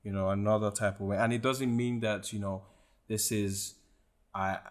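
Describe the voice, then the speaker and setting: A man's voice: lowish voice, steady tone, normal speed. A man co-hosting a casual podcast, hesitant and full of fillers as he works out his questions. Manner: hesitant, many fillers, backchannels a lot. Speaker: podcast co-host. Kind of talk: casual chat.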